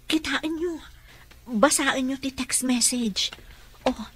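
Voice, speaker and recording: A woman's voice: high voice, slow pace, slight background noise. A woman acting as a polite, earnest caregiver and protective mother in a radio drama, with an Ilocano accent. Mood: worried.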